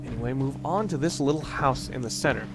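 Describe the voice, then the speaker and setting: A man hosting a let's play, commentating casually on a video game in a rambling, halting way with dry joking asides. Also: medium pitch, noisy background. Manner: rambling, halting self-corrections. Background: video game music.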